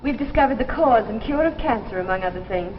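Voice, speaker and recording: An actress playing the earnest, urgent daughter of a future scientist, in dramatic 1950s sci-fi style. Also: female voice, a little high, animated, quick pace, a little hiss or hum, natural voice. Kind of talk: 1950s radio-era sci-fi drama acting. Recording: dull vintage broadcast with music behind.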